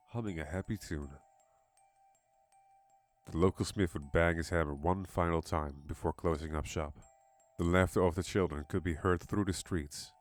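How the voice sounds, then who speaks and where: A man reading a scripted horror story as its narrator. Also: sits low.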